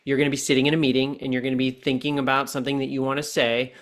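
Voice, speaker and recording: Man in his forties, podcast guest, deliberate coaching tone, mid-range voice. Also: medium pitch, little pitch movement, fairly bright tone. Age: 40s. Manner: deliberate, earnest coaching tone, slows down for key points. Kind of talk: reflective podcast conversation.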